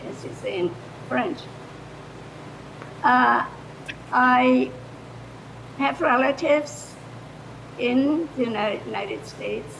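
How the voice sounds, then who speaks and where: An older woman with a German accent, speaking off the cuff in a halting way as a retired language teacher, in a noisy recording. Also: gravelly. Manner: halting, hesitant delivery. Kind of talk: improvised public remarks. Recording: noisy room recording with low rumble.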